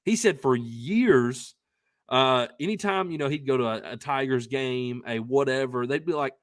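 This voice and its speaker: A young man co-hosting a podcast, telling a casual anecdote in a rambling, emphatic, excitable way. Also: medium pitch, moderate pace, no room sound, studio quality. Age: young adult. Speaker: podcast co-host telling a story.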